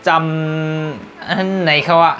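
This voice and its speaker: A man's voice: on the high side, takes it slowly, bright, forceful tone, soft background music. A man telling a folk tale aloud in a loose, rambling way, with many fillers. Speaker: storyteller.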